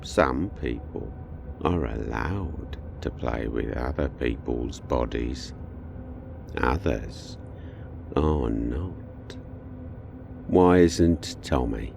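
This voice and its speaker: A demonic horror-drama villain speaks from a bottomless, gravel-filled register. The words come slowly, with taunting contempt and quiet menace, amid clear background noise. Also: male voice, animated, full character voice. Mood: accusing, contemptuous. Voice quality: very deep, gravelly. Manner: slow, deliberate, taunting, menacing. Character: demonic presence in a condemned murderer boy, taunting, contemptuous. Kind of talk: audio horror drama acting.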